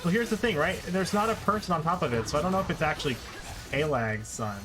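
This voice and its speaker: A man's voice: fairly high pitch, fast talker, poor audio. A man giving live video game commentary, thinking aloud and exclaiming in frustration as he plays. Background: video game music.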